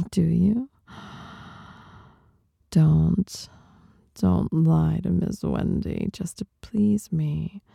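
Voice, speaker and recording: Whispering, seductive woman, very slow and husky. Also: low-pitched, dry recording. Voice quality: breathy, whispered. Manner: seductive, teasing, softly dominant. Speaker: performer of adult audio recordings. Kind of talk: intimate adult ASMR monologue. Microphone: close microphone.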